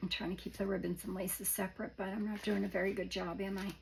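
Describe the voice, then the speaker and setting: A hoarse woman showing off craft supplies in a casual show-and-tell, gushing softly and often dropping to a whisper. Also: medium pitch, little pitch movement, fast, slight background noise, rough recording. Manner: soft-spoken, gushing, often drops to a whisper. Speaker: craft vlogger.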